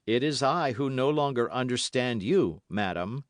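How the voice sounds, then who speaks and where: A man's voice: medium pitch, expressive, no echo, studio quality, voice pushed up, close to an everyday voice. A man playing a stern, devout young officer. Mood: grave. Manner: serious and measured.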